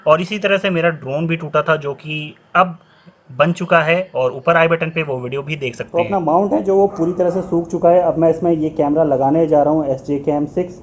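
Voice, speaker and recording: A man's voice: fairly high pitch, brisk. A young man chatting casually to viewers as he sets up a helmet camera and rides a motorcycle through town. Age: young adult. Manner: chatty, addresses viewers directly. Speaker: motovlogger. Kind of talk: casual vlog narration. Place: indoors at home, then riding a motorcycle on city streets. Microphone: action camera mounted on helmet. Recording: lo-fi camera audio with traffic noise.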